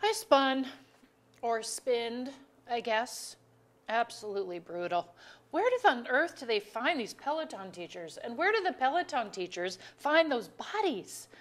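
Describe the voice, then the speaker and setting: An exasperated middle-aged wife played by an actress in a stage play reading. Her voice is high and swings widely in pitch, with dry, articulate, deliberate phrasing. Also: expressive. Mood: dryly weary. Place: auditorium.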